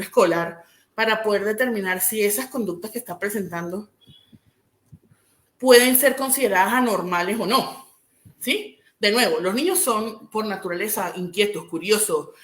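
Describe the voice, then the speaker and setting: A woman from Venezuela speaks at a middle pitch, explaining patiently as a psychologist being interviewed. She keeps checking in with a quick, rising "¿sí?". Her voice echoes around the room. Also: fairly bright tone. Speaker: clinical psychologist guest.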